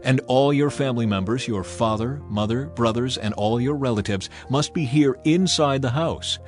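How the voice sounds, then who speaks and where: A man's voice: mid-range voice, moderate pace, darkish tone, soft background music, lightly acted. A man voicing a firm, oath-bound Israelite spy with formal declamation in a scripture dramatization. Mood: firm, warning.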